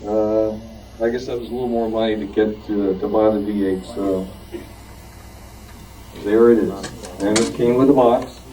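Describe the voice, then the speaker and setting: A man giving a casual, halting show-and-tell talk to a group, telling anecdotes as he goes. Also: on the low side, fairly even intonation, mellow. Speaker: club member at show and tell. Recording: distant room microphone.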